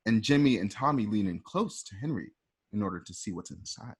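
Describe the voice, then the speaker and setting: A man's voice: fairly low pitch, lively intonation, brisk, slightly rough. A man reading script stage directions in a casual, plain-spoken way at an informal table read.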